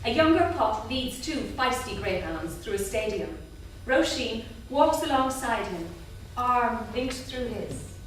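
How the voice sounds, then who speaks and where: A woman's voice: a little high, animated, unhurried. A woman reading stage directions as scripted narration at a staged drama reading. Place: theatre stage. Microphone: distant room mic. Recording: echoey theatre room, distant mic, hiss.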